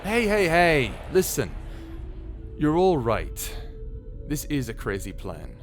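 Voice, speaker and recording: Man playing a brash, animated space pirate captain. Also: on the high side, slow pace. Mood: urgent, rousing. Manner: flippant, rousing, theatrical. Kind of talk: scripted audio drama. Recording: background music under the voice.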